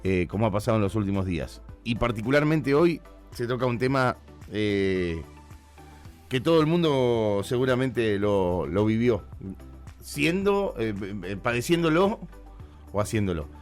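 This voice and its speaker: A man's voice: fairly low pitch, big pitch swings, a little slow. Argentine man hosting radio, music behind. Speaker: radio show host. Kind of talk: casual radio chat with hesitations. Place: radio studio.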